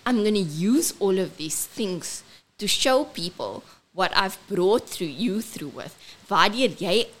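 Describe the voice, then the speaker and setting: A South African woman with a squeaky, childlike voice, speaking earnestly as a ministry host and switching between English and Afrikaans. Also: lively intonation, slow. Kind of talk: personal testimony and event announcement.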